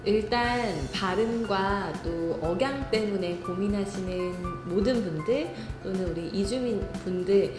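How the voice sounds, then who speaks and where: A woman speech instructor giving calm, encouraging interview answers. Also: mid-range voice, measured pace, few pauses, reverberant, music in the background.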